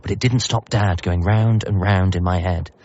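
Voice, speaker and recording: A low-pitched, youngish British man narrates as an anxious, self-deprecating son. He is hesitant, wry and earnest, in radio comedy drama style. The sound is slightly muffled. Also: fairly even intonation, moderate pace, a little mellow. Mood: wistful. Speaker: narrator and lead character.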